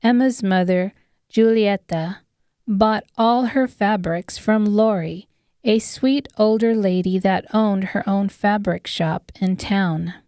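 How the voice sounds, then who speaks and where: A woman narrates an audiobook from a script. She reads slowly in a smooth, clear voice of middle pitch, close and dry. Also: warm tone, no echo. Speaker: author narrating her own audiobook.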